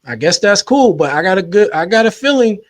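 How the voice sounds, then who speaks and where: A man hosting a commentary show, giving his measured, skeptical take and often trailing off quietly. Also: high-pitched, very animated, no room sound, studio quality. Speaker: commentary host. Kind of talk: opinion commentary.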